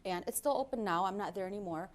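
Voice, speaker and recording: A woman's voice: medium pitch, quick pace. A woman in her forties, a guest on an interview show, talking earnestly with frequent fillers. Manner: warm and earnest, with many fillers. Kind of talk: casual interview talk.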